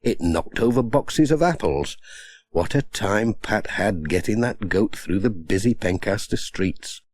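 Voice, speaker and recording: A man's voice: on the low side, lively intonation, a little slow, a little mellow. A man reading a scripted children's story as an audiobook narrator.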